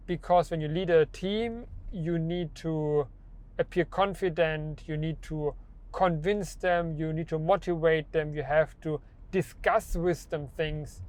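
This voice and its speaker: A young man who stutters, with repeated words and restarts, talking about his own experience in a personal vlog. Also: fairly high pitch. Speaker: vlogger.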